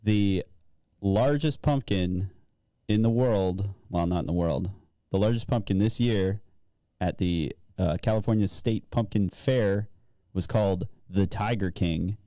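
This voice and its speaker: Laid back guy on a podcast, deepish mellow voice, super dry close sound. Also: a little slow, no echo. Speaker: podcast co-host. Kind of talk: casual banter, reading out news items.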